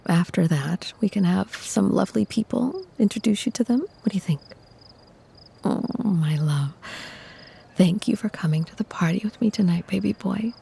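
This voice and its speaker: A woman playing a gentle, doting vampire who soothes her mortal lover, breathy and creaky, in an ASMR-style audio drama. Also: medium pitch, full character voice. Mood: loving, soothing. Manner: tender, soothing, measured. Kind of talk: ASMR audio roleplay acting.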